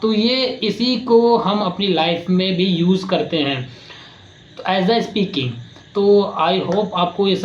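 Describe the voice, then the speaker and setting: A man's voice: on the high side, a little hiss or hum. A man giving a casual, rambling explainer lesson for his channel, repeating himself and restarting often. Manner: rambling, repetitive, many restarts. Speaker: YouTube creator teaching voice skills. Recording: roomy, slightly dull with faint ambience.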